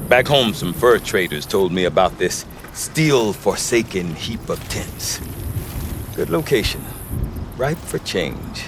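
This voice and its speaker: Deep-voiced, animated wry trader. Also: male voice, slow, noisy background, exaggerated voice. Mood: wry, exasperated. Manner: wry, hesitant, colloquial salesman patter. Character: folksy, chatty Oseram trader father, middle-aged, wry salesman. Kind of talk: video game character acting.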